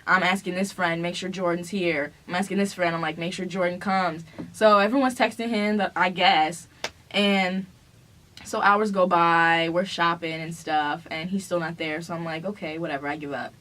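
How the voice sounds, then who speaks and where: A woman's voice: fairly low pitch, fairly even intonation, moderate pace, a bit bright, slight background noise. A young woman in casual storytime chat, teasing and playfully dramatic as she retells things. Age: young adult. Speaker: vlogger telling a couple story.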